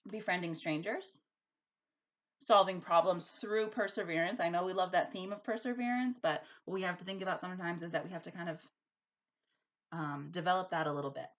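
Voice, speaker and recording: A woman's voice: fairly low pitch, a little fast. A woman teacher speaking expressively and emphatically, as in a storybook read-aloud for a school lesson. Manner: animated, emphatic.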